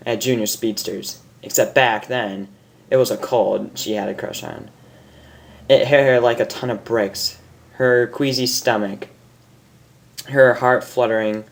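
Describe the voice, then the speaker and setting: Male amateur story reader, medium pitch, informal but dramatic, audibly amused, trips over words. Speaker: fan fiction reader.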